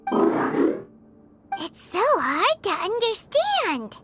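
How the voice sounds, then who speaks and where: A woman voicing a tiny, eager, childlike fairy companion in a video game, squeaky and talking of herself in the third person. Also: wildly swooping pitch, extremely slow, a bit bright, full character voice. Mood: puzzled, earnest. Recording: background music under voice.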